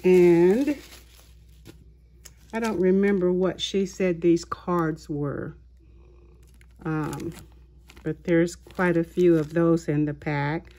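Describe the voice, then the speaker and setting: A woman hosting a haul video of her craft purchases, talking in an unhurried way with many small pauses and fillers. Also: on the low side, steady tone, dark tone. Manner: slow, unhurried, halting with fillers. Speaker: craft channel host. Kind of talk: show-and-tell haul video. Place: indoors at a tabletop, voice-over of hands.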